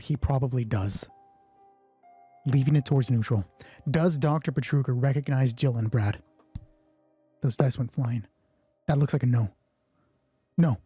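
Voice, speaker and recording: Husky, mellow man narrating a solo roleplay. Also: mid-range voice, no echo. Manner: foul-mouthed, talks to himself through rulings. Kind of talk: casual narration of a solo tabletop game, thinking aloud.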